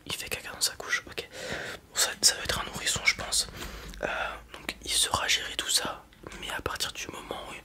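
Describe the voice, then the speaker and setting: A man playing a blunt, casual space robot merchant in a whispered ASMR roleplay, muttering hesitantly in a breathy voice. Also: pushed and bright. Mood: casual, matter-of-fact. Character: gruff, casual male space robot merchant, wary but kind.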